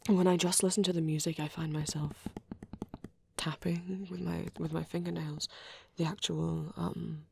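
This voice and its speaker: A man playing a shy young male vampire in an ASMR roleplay, rambling hesitantly and apologetically in a soft, breathy voice that often drops to a whisper. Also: a little high, flat delivery, slight background noise. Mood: hushed, enthusiastic. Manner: hesitant, halting, rambling, self-correcting.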